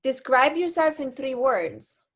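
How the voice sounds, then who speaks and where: A woman's voice: sits high, sing-song, measured pace, fairly bright tone. A woman hosting a casual chat show and putting quiz questions to a guest. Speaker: talk show host.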